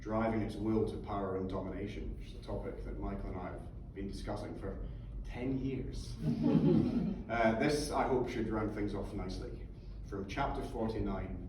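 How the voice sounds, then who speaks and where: A man giving a live literary reading of his own fiction in a small theatre. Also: lowish voice. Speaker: author reading own novel aloud. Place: small theatre, book launch. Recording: echoey theatre from far off, faint noise.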